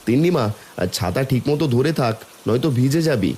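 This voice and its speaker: Humble cartoon villager played by a man: mid-pitched, soft dark tone, lively intonation, earnest and devoted. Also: brisk, slight background noise, natural voice. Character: poor, devoted village son and father. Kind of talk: Bengali cartoon folk-tale voice acting.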